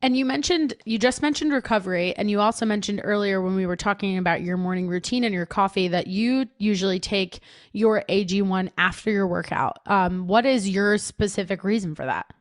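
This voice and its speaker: A woman hosts a casual podcast, chatty and upbeat, sprinkling her questions with "like" and "right?". Her voice sits at a middle pitch, and the room sounds dry and close. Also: brisk, no room sound. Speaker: podcast host.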